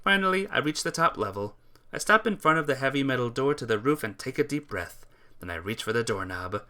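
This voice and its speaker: A man reading a visual novel aloud as a let's play narrator, with expressive, earnest storytelling delivery. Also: mid-range voice.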